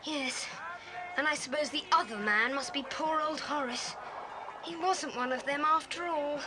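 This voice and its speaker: Noisy old cassette drama, a very high-voiced plucky boy leader, brisk and earnest. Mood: serious. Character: bold teenage boy, decisive leader of child adventurers. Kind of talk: 1980s audio drama acting. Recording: noisy old cassette tape, engine and ambience.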